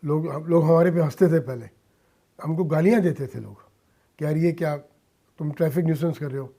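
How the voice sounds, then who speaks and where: A man's voice: on the high side, a little fast, dark tone. A middle-aged man from India speaking earnestly as a radio interview guest, dropping in English phrases. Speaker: interview guest, businessman and social worker. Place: radio studio.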